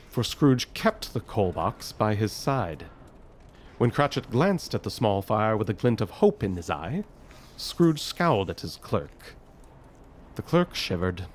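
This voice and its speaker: A man's voice: on the low side, a little hiss or hum. A man narrating a Victorian tale as the scripted storyteller of an audio drama.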